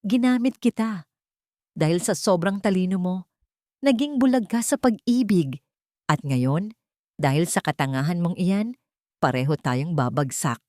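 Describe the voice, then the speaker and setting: A woman's voice: on the low side, animated, measured pace, long pauses, warm tone, no room sound, clean studio sound. Woman voicing a volatile, bitter male villain. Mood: angry. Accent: Filipino. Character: scheming, desperate male villain, cornered and bitter.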